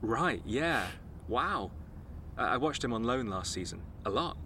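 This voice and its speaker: A man's voice: mid-range voice, expressive, measured pace, pushed and bright, slight background noise. An actor playing a nervous, rambling young man on a football club's staff, stammering and apologetic. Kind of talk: audio drama acting.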